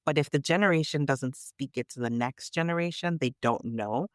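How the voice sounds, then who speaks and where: A woman's voice: rumbling low, a little fast, no echo, studio quality. A woman from Canada chatting on a podcast as a storyteller guest, emphatic and reflective in how she talks. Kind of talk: conversational podcast chat.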